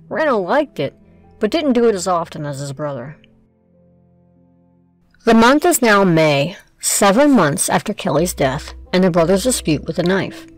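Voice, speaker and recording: Female storyteller: scripted drama narration, lively intonation, music bed underneath. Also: fairly low pitch.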